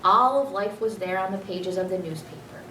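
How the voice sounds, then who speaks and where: A woman narrates a children's storybook expressively at a middle pitch. She sounds a bit away from the microphone in an echoey room, with faint noise behind her. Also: normal speed, bright, forceful tone, distant mic. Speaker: teacher reading a children's book aloud.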